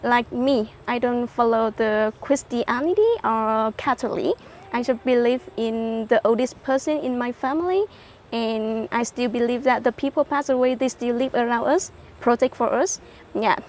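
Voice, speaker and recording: High-voiced young woman. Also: fairly even intonation, normal speed, a little mellow, a little hiss or hum. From Vietnam. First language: Hmong. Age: young adult. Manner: simple learner English, frequent affirming yeses. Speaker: interview guest. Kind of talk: casual interview chat.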